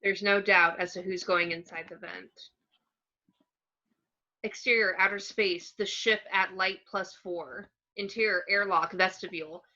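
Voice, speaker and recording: A woman's voice: mid-range voice, fairly even intonation, measured pace, sharp tone. A woman narrator reading out screenplay action descriptions on a dull, lo-fi home recording.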